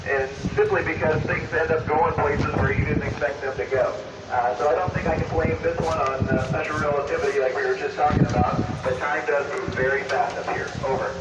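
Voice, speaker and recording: A man with a fairly high voice answers questions over a dull, very noisy radio transmission. He explains things in an upbeat, slightly halting way, and his voice sounds distant. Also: steady tone, reverberant.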